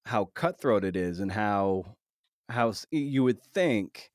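A man hosting a podcast in a conversational interview style, often halting and repeating words as he thinks his way through. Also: fairly low pitch, animated, no room sound, studio quality. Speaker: podcast host.

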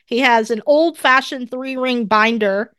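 A woman's voice: a little high, unhurried, a bit bright, clear, resonant tone, dry recording, clean studio sound. A congested woman co-hosting a podcast, chatty and opinionated as she leads a casual conversation from her home office. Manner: chatty and opinionated, leading the talk. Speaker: podcast co-host. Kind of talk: casual chat.

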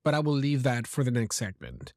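A man hosting a podcast for English learners, speaking slowly and deliberately. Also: medium pitch, very animated, a little mellow, no room sound, studio quality. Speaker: podcast host.

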